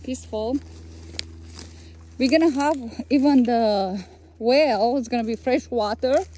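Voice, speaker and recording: Woman, outdoor vlog co-host, chatty and eager, fairly high voice, mellow tone. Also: slow pace. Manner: chatty and enthusiastic, with loose non-standard phrasing. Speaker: tree farm co-host. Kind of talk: casual walking tour vlog. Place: outdoors on a rural tree farm.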